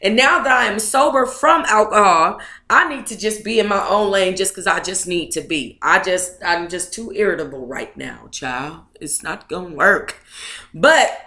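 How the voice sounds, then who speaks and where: Sassy, bright-voiced woman in an echoey room. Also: mid-range voice, lively intonation, normal speed. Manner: sassy, blunt, profane, conversational. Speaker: book club host reading aloud and commenting. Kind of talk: casual read-aloud with running commentary.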